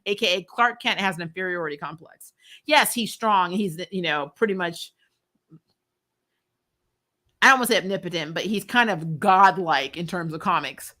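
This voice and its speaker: A woman hosting a casual fan livestream, talking in an animated, blunt, jokey way with some swearing. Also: medium pitch. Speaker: show host reviewing superhero TV and reading live comments. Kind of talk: casual livestream chat, rambling fan commentary.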